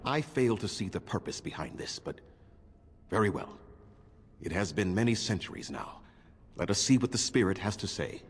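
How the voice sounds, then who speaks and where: An actor playing an ancient, proud and vengeful elf leader in a game, speaking sternly and with grief. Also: male voice, fairly low pitch, lively intonation, moderate pace, breathy edge. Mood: grudging. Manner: stern, grieving, declamatory. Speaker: game character. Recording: reverberant game audio with faint noise.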